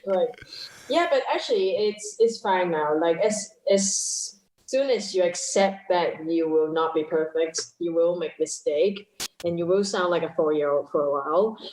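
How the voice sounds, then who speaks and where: Chatty woman, low voice. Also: unhurried, darkish tone, echoey room, rough recording. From Hong Kong. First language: Cantonese. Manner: chatty, laughs often, self-deprecating. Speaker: guest musician. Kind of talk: casual interview chat.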